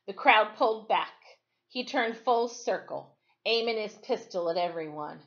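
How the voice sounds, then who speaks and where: A woman teacher reading a storybook aloud in a deliberate, dramatic way, with explanatory asides and checking questions. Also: mid-range voice, expressive, fairly bright tone. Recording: dull, lo-fi sound.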